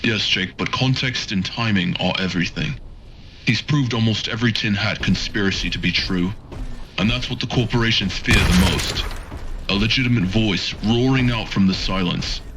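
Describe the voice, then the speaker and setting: Game role: grave, guarded rebel strategist played by a man, low pitch, deliberate speechmaking, faint music underneath. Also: a bit bright. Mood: grave, persuasive. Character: calculating, measured male rebel mentor, guarded and grave. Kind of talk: cinematic video game dialogue.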